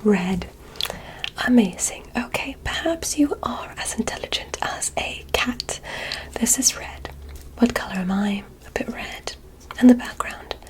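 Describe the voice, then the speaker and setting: A woman voicing a curious, polite alien examiner in a whispered ASMR roleplay, speaking in a soft, deliberate, clinical manner. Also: medium pitch, animated, close to an everyday voice, low-quality recording. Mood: curious, gentle.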